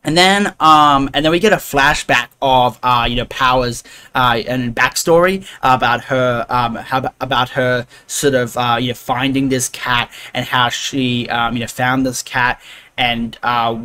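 A man's voice: medium pitch, unhurried, sharp tone, smooth. A man recapping an anime episode in a casual, rambling way, full of fillers and restarts. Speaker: anime reviewer.

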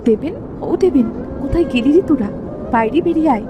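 A woman's voice: high-pitched, expressive, fast talker. A woman voicing a determined, worried married daughter in a cartoon story, urgent and pleading. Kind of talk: Bengali cartoon story dialogue. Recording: loud music under the voice.

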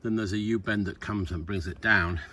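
A man's voice: lowish voice, steady tone, normal speed, sharp tone. A man in his fifties giving an informal, wry, explanatory vlog tour of an old farm he is restoring, recorded on a handheld phone. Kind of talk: informal narrated video tour. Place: outdoors on farm land and inside stone barn. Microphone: handheld phone at arm's length. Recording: clear background noise, clicks.

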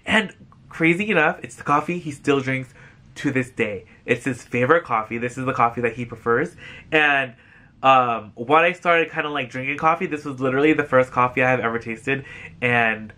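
Bubbly, bright-voiced man on a sofa. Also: mid-range voice, moderate pace. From Philippines. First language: Tagalog. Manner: chatty, bubbly and animated, gesturing with his hands. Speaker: vlogger. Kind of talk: casual thrift haul chat. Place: living room, seated on a sofa.

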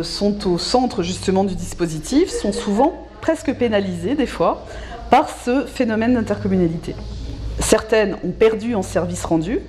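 A middle-aged woman explains things as an expert in an interview, in measured phrases with hesitant pauses. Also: mid-range voice, warm tone, a little hiss or hum, rough recording. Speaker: local government specialist being interviewed. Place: small indoor office.

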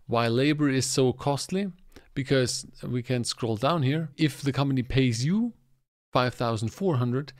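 A man's voice: mid-range voice, gravelly, dry recording. A man in his forties with a German accent, hosting a podcast and explaining things casually and conversationally into a close desk microphone. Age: 40s. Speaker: podcast host. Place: small furnished home office, indoors.

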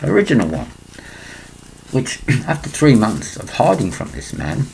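An older man from England, speaking into a laptop webcam in a small room, rambling and clearing his throat between points. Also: on the low side, wildly swooping pitch, a little slow, a little mellow, a little hiss or hum, poor audio. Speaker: vlogger. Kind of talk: rambling conspiracy monologue to webcam.

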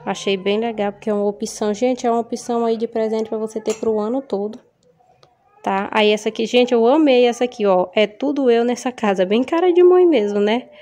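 A Brazilian woman with a mellow, slightly hoarse voice from a cold chats casually to her viewers. She keeps asking little tag questions as she goes. She is recorded on a phone in a home kitchen. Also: a little high, a little fast. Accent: Brazilian Portuguese. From Maranhão, Brazil. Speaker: vlogger. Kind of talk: casual haul vlog. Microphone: phone camera held over table.

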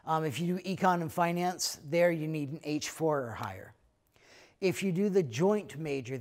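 Middle-aged American man, head of school, easygoing talk with fillers, a bit high-pitched, indoor room. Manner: relaxed, conversational, many fillers. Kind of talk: recorded talk to prospective students. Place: lecture room, then plain backdrop indoors.

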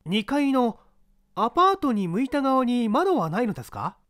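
A man's voice: extremely high pitch, big pitch swings, normal speed, fairly bright tone, no room sound, pitched above the performer's own voice, exaggerated voice. A man voicing an earnest unemployed young man who argues his case with eager intensity in a mystery reading. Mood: questioning.

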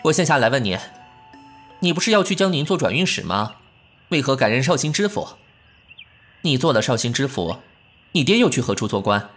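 An actor playing a proud, sharp-tongued but caring young man in an ancient-costume audio drama, huffy and scolding. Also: medium pitch, sing-song, a little fast, pauses often, soft background music. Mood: stern questioning. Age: young adult.

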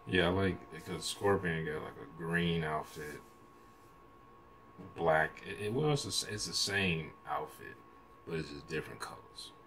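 A man's voice: a bass voice, lively intonation, unhurried, creaky, fairly bright tone, slight background noise. An American man in his thirties giving casual, slangy reaction commentary in a rambling, hesitant way, recorded in a room at home. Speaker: reaction video host. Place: small room at home, indoors.

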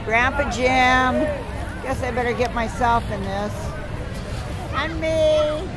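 Older female, grandmother type, high-pitched and slow-paced, casual home-video chat outdoors. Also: heavy background noise. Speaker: grandmother filming a family outing. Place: outdoors at a county fair. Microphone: handheld camera mic, held by the speaker. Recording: loud fairground crowd and music behind.

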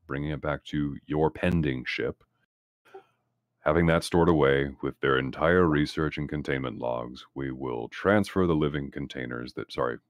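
A man roleplaying a calculating corporate representative, talking in wordy, formal, deliberate phrases as he improvises. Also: rumbling low, no room sound, clean studio sound. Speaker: tabletop game player. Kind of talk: in-character improvised roleplay dialogue.

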